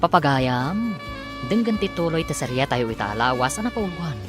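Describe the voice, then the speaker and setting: A man's voice: fairly high pitch, sing-song, moderate pace. A man announcing and narrating a radio drama in a theatrical, emphatic, scripted delivery. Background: theme music.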